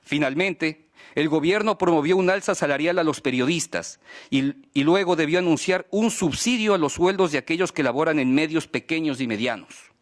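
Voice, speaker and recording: Higher-voiced man reading a formal statement. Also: moderate pace. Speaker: press freedom advocate speaking at a human rights hearing. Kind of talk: formal prepared statement, read aloud.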